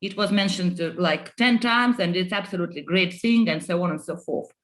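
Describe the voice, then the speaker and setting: Woman politician, earnest speech, lots of uhs and restarts. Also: lowish voice. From Estonia. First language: Russian. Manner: earnest, halting with fillers and restarts. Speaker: member of parliament. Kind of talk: conference speech.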